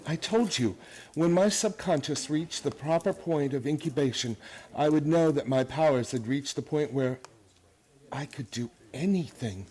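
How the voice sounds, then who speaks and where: A man's voice: a little high, moderate pace, dark tone, slightly rough. A man acting in a radio play as an obsessive, grandiose believer in his own mental powers, lecturing intensely and emphatically. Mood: feverish, insistent.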